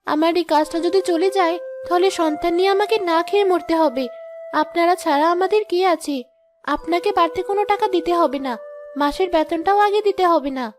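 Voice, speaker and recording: A woman's voice: extremely high pitch, fairly quick, music in the background. A woman voicing a poor, anxious housemaid and mother in a cartoon drama, plaintive and pleading.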